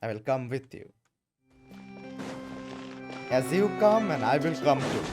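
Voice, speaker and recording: Playful woman gamer with lively swooping pitch. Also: slow pace. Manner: playful, repeats phrases. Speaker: game player. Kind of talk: casual joking gameplay commentary. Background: game music.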